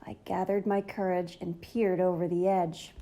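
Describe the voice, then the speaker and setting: A woman's voice: lowish voice, flat delivery, normal speed, mellow. A woman reading a children's story aloud in an enthusiastic, dramatic narrating style. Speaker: storybook reader.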